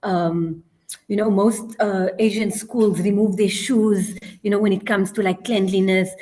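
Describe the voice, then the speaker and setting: A woman with a South African accent speaks as a guest on a casual podcast. She pauses often and fills the gaps with ums and "you know". Her voice sits at an ordinary middle pitch. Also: fairly even intonation, darkish tone. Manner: hesitant, frequent ums and "you know" fillers. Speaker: guest, teacher working abroad.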